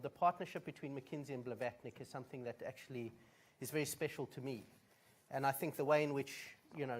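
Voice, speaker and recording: A man with a South African accent giving direct, plain-spoken opening remarks at a conference, with frequent fillers. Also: mid-range voice. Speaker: conference speaker.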